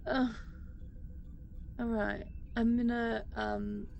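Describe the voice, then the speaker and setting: A woman's voice: fairly high pitch, level tone, very slow, vocal fry, muffled recording, slight background noise. A woman chatting casually as a player in a tabletop role-playing game, thinking aloud and reacting readily.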